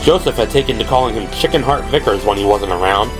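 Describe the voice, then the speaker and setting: A man's voice: fairly low pitch, quick pace, low-quality recording. Man narrating an audio drama over music. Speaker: narrator and performer.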